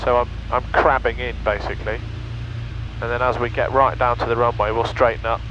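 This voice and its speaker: A man talks through a headset intercom in a small plane cockpit, calmly explaining each step of the flight. His voice sits at an everyday pitch. Steady engine noise runs loudly under everything he says. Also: brisk, muffled recording. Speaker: pilot and vlogger. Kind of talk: casual chat and radio calls while flying.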